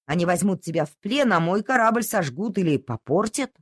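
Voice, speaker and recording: A woman voicing a gruff, cautious old sailor giving a stern warning. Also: fairly low pitch, very animated, no echo, clean studio sound. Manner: warning, measured.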